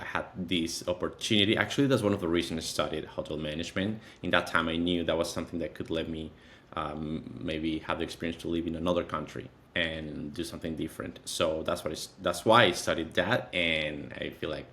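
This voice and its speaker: Male, 30s, Venezuelan accent, native Spanish speaker, deep register, halting conversational interview delivery with repeated phrases. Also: fairly even intonation, bright, forceful tone. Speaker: interview guest, content creator.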